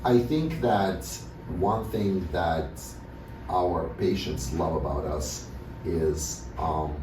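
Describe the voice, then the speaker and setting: A man's voice: sits low, extremely slow. A man from Russia who works as a dentist, answering interview questions casually with many fillers and restarts. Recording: echoey room, mic at a distance, background noise.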